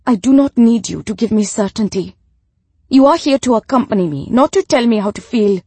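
A proud, frail widow played by a female audiobook reader, withdrawn and formal. Her phrasing is stiff and clipped, pitched up and firmly projected. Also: sing-song, slow pace, warm tone, husky, dry recording, exaggerated voice. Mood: sharp, affronted.

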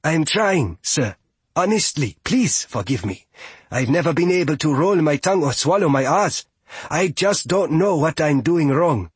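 Man voicing an earnest young adventuring woman, lifted pitch. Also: lively intonation, unhurried, slightly rough, dry recording, full character voice. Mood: apologetic. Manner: polite, apologetic, earnest.

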